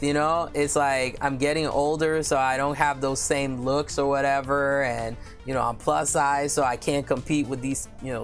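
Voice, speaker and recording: A woman with a deep voice, chatting candidly on a live stream and reading viewer comments aloud, with lots of "you know" fillers.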